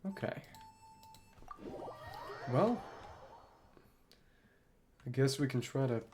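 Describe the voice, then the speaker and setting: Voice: mid-range voice, animated, drawn-out words, noisy background. A streamer playing a video game with casual commentary, thinking aloud in fragments and exclamations.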